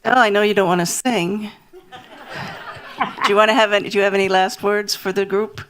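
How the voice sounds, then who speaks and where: Brisk woman moderating a panel. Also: medium pitch, steady tone, a bit bright, poor audio. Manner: brisk, light, laughing.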